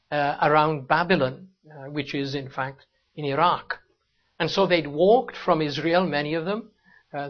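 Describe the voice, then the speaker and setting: A Welsh man preaching a sermon in a church, talking to the congregation in a conversational way and asking rhetorical questions. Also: highs cut off. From Wales. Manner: conversational, rhetorical questions, rises sharply in pitch for emphasis. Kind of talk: sermon with opening prayer. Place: church hall.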